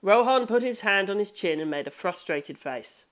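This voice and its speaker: A woman's voice: mid-range voice, expressive, normal speed. A woman reading a scripted story aloud as an audiobook narrator. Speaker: audiobook reader.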